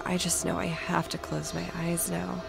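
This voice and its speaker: A woman's voice: lowish voice, level tone, moderate pace, creaky, over music. An actress playing an exhausted, doubting woman in an audio drama. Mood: weary. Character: exhausted, doubting woman freed from alien control.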